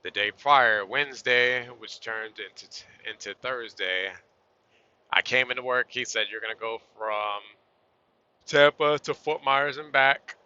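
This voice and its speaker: Bright-voiced trucker, a bit hoarse. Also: male voice, medium pitch, measured pace. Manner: laid-back, chatty, southern-style y'all. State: losing his voice a little. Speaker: truck driver vlogger. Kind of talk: casual vlog talk to camera while driving. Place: inside a truck cab on the road. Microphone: phone or camera on a tripod. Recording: dull lo-fi sound.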